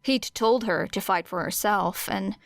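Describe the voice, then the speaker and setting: A woman narrating scripted fiction as an audiobook, measured, with deliberate pauses. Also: mid-range voice, creaky, no echo.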